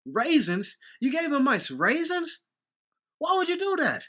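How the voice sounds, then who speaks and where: Woman acting stern dad, bright forceful tone, animated swings, scolding, audio drama. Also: sits high, a little slow, full character voice. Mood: angry. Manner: scolding, emphatic. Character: exasperated, stern but joking human father.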